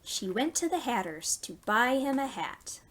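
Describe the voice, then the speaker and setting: A woman hosting a storytime for young children, reading stories and giving simple, clearly phrased instructions. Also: a little high, sing-song, measured pace, a bit bright, slight background noise. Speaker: children's library storytime host.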